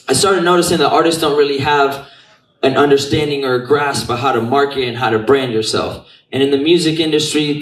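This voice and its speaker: A man from Puerto Rico who owns a marketing business, talking to an event crowd in a loose, profane style full of street slang. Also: medium pitch. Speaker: marketing business owner giving a talk. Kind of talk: casual talk to an audience. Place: event venue. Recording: roomy sound from a distance.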